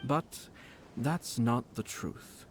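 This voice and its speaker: Measured, courteous noble lord. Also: male voice, medium pitch, noisy background. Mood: suspicious, analytical. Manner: measured, formal, polite. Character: composed, courteous, shrewd young male noble lord. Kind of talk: video game voice acting.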